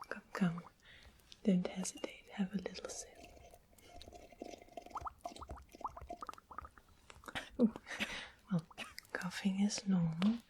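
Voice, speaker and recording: A woman playing a sweetly sinister witch in an ASMR fantasy roleplay, whispering coyly and coaxingly with doting endearments. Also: lowish voice. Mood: coaxing, mischievous.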